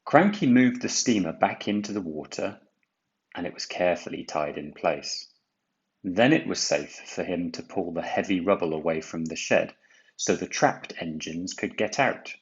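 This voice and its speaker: A man reading a children's storybook aloud, with deliberate, dramatic emphasis. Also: low voice, lively intonation, dull audio. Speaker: storybook reader. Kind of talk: expressive children's read-aloud narration.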